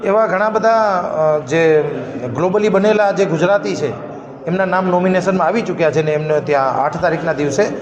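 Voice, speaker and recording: High-voiced man in echoey crowded hall. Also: normal speed. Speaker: award organiser. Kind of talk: informal interview statement. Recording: echoey hall, dull sound, loud crowd.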